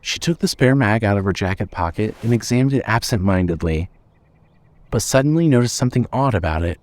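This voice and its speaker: A man's voice: fairly low pitch, animated, brisk, a little mellow, no echo. A man reading scripted fiction as the narrator of an audio story.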